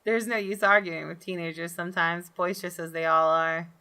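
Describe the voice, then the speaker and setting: A woman reading a visual novel aloud as expressive, dramatic storytelling for a gaming video. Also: lowish voice, fairly quick, clean tone. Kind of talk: expressive scripted narration with brief asides. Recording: game music behind the voice. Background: soft game music.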